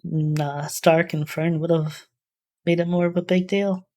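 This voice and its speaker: Low-voiced, even-toned woman musing aloud. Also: slow pace. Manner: musing, tentative, thinking aloud. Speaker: reaction video host. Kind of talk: casual off-the-cuff reaction commentary.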